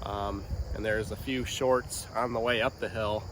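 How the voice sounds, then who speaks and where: A man's voice: fairly low pitch, steady tone, measured pace. A man with a head cold, stuffy and nasal, talking casually and hesitantly with many ums while outdoors in a windy pasture, recorded on a camera's built-in mic. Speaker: farm vlogger. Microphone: camera mic, no external mic.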